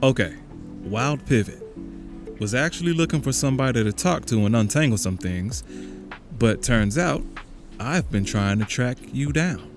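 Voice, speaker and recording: A man's voice: medium pitch, lively intonation, moderate pace, music in the background, lightly acted. A man playing a brash, wry, chatty caller in a casual audio drama. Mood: incredulous, curious.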